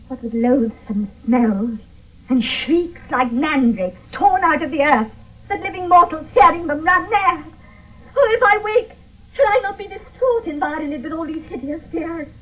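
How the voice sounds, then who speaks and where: A woman's voice: sits high, animated, moderate pace. An actress playing a frightened young noblewoman in an intense, theatrical 1970s radio Shakespeare style. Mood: frantic, terrified. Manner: intense, theatrical verse delivery. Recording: thin phone-like old radio, distant mic.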